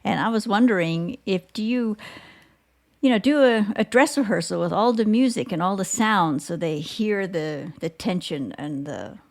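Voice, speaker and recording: A woman's voice: mid-range voice, lively intonation, normal speed. A woman hosting a podcast, asking questions in a conversational interview style.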